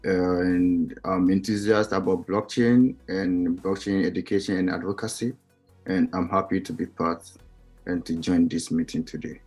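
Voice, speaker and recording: A man from Ghana introducing himself on an online call, earnest and steady. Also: low voice, normal speed, slightly rough, faint music behind. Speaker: community manager.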